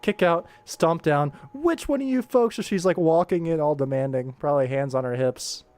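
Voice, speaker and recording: A man's voice: a little high, moderate pace, darkish tone. A man talks casually through his work on a livestream, rambling and muttering to himself as he thinks aloud. Game soundtrack music plays behind his voice.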